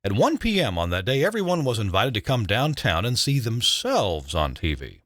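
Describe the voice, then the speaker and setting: An older man, a retired broadcast engineer, narrating a scripted memoir in a measured, dramatic way with emphatic stresses. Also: on the low side, huge pitch swings, a bit bright, no echo, studio quality.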